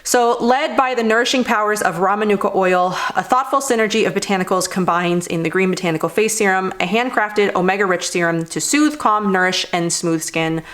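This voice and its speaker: A young woman vlogging about skincare in a rambling, casual way with lots of fillers, her voice slightly hoarse after laryngitis. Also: mid-range voice, fairly quick, few pauses, fairly bright tone. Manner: rambling, many fillers like "like" and "you know". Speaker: beauty vlogger. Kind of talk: casual product review chat.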